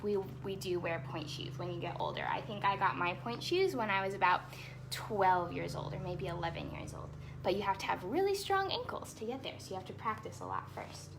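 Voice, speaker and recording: A woman's voice: mid-range voice, wildly swooping pitch, a little fast, vocal fry, background noise. A woman hosting a children's storytime, reading aloud expressively with chatty asides and explaining words simply for young listeners.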